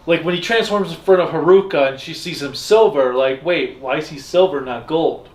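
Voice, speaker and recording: A man's voice: a little high, moderate pace. A man co-hosting a fan discussion show with casual recaps and banter. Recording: echoey room.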